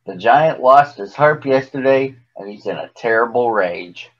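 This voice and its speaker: A man's voice: medium pitch, lively intonation, unhurried, highs cut off. A man voicing a giant's bossy, practical wife in a storybook, firm and scolding. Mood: cautioning.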